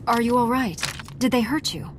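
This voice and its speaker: A woman's voice: fairly high pitch, animated, moderate pace, noisy background, natural voice. A woman playing a commanding, level-headed heroine with dry wit in a video game. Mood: calm. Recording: music behind the voice.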